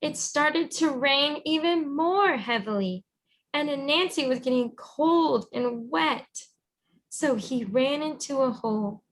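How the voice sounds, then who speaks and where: A woman reading a storybook aloud as a teacher, encouraging and pausing to guide with questions. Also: high-pitched, low-quality recording. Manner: encouraging, instructive.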